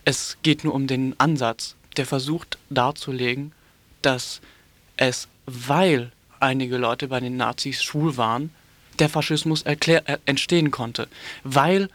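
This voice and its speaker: A man giving critical radio commentary in a halting, sardonic and polemical manner, with frequent hesitations. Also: mid-range voice, fairly bright tone, dry recording.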